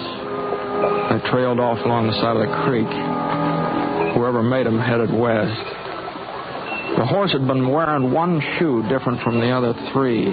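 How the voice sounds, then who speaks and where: A man's voice: medium pitch, close to an everyday voice. Easygoing, hesitant cowboy gunslinger played by a man. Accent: American. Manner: hesitant, halting, folksy understatement. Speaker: lead character and narrator. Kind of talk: 1950s radio western acting and narration. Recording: muffled old radio recording under music.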